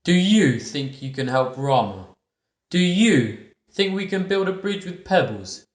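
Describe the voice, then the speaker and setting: A man voicing a great, boastful male monkey who booms and mocks a tiny squirrel. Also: big pitch swings, measured pace, reverberant. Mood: scornful. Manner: booming, scornful and teasing.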